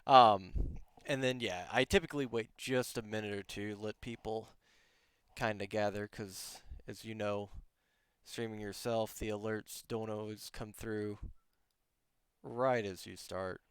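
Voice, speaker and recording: A man's voice: fairly low pitch, fairly even intonation, measured pace, a bit bright, clean tone, no room sound, clean studio sound. Laughing, rambling podcast host man. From outside Germany. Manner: rambling, laughs often, many restarts. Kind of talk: casual interview chat.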